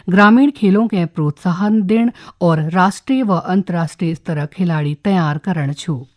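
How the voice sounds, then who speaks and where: A woman with a Kumaoni accent reading a scripted regional radio news bulletin in a formal, measured announcer's manner. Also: lowish voice, a little mellow. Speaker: radio newsreader.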